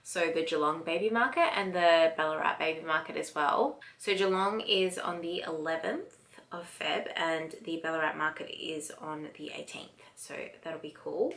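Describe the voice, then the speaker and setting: An Australian woman in her thirties talks to the camera in a casual, chatty vlog style. Her voice sits a little low. She is recorded at home in a small furnished room, a short distance from the camera. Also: moderate pace, vocal fry, a bit bright. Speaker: vlogger and mother of a toddler. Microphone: camera a short distance in front.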